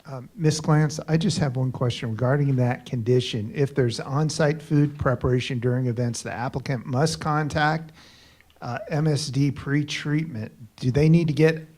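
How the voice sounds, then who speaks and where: A man's voice: mid-range voice, darkish tone. A man at a county hearing who answers and asks questions carefully, taking pains to word things precisely. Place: county board meeting room.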